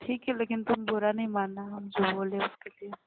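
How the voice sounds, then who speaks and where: A woman's voice: mid-range voice, flat delivery, fairly quick, a crowd in the background. A young woman in a casual, recorded phone conversation, speaking plainly and earnestly. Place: phone call. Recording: very noisy phone line with clicks.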